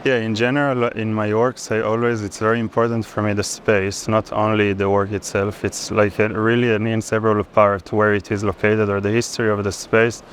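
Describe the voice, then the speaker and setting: A man's voice: on the low side, level tone, brisk, few pauses. A man in his thirties with an Israeli accent, an artist thoughtfully explaining his work in an interview, often searching for words. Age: 30s. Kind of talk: reflective explanation of own artwork. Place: busy art fair. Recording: clear urban background noise at fair.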